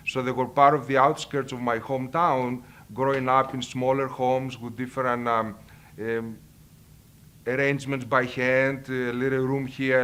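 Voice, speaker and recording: A middle-aged man from Greece with a Greek accent, a marketing professor telling his life story and giving earnest advice, pausing and repeating himself now and then. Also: medium pitch, a bit bright. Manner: earnest, with repetitions and hesitations.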